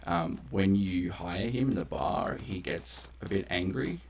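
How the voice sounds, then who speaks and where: Very deep-voiced, gravelly man commentating. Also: measured pace. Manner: rambling, conversational, swears casually. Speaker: game streamer. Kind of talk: casual live gameplay commentary. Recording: faint background noise.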